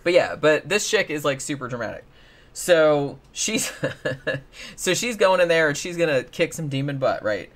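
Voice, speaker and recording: A man's voice: a little high, big pitch swings, normal speed, fairly bright tone. A man chatting casually and irreverently as a show co-host, with slangy, profane phrasing. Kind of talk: casual chat recapping paranormal stories.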